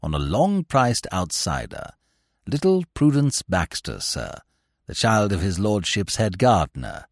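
A man voicing an imperturbable, deferential valet who speaks low, hushed and measured. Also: very animated, no room sound, studio quality, full character voice. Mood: composed. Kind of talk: audiobook character voice.

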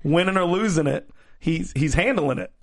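A man's voice: a little high, expressive, normal speed. A man co-hosting a podcast, chatting casually about a TV show with a playful, joking, agreeable manner. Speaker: podcast co-host.

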